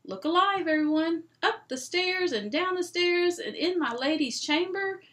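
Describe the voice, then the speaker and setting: Woman voicing a commanding lion, pitched up high. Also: expressive, measured pace, a bit bright, heavily acted. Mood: rousing, urgent. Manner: hearty, commanding, calling out. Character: great joyous commanding lion, male.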